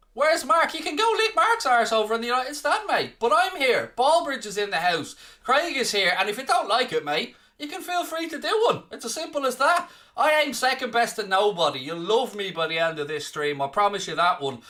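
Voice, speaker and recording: A man's voice: very high voice, bright, forceful tone. An Irish man from southeast Ireland hosting a live football watchalong stream, bantering cheekily with the chat. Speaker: stand-in watchalong host. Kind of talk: casual live-stream chat reading the comments.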